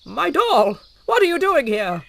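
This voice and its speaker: A man's voice: very high-pitched, wildly swooping pitch, a little slow, vocal fry, pushed and bright, a little hiss or hum, exaggerated voice. A man playing a brave, boastful jack-in-the-box toy king, proclaiming heroically in a fantasy audio drama. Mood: hearty.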